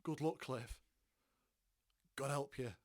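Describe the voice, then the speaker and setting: A man's voice: mid-range voice, slow, darkish tone, dry recording, clean studio sound, close to an everyday voice. An actor playing a nervous male colleague in an audio drama, urgent and insistent as he covers himself. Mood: anxious insistence.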